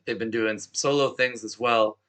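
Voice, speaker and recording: A man with a hoarse, worn-out voice hosting a live music show in a chatty, pumped-up way. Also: medium pitch, fairly even intonation, normal speed, fairly bright tone. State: hoarse, has lost his voice. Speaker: show host.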